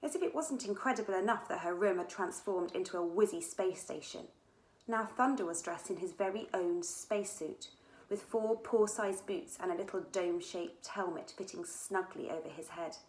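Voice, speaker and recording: A woman author reading her own children's adventure book aloud in an animated storytelling style. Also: lowish voice, normal speed. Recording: echoey room.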